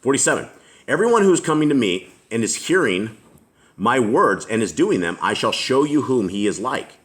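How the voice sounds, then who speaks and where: A middle-aged man with a deep voice, leading a home Bible study, reading scripture aloud slowly and asking questions. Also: very animated.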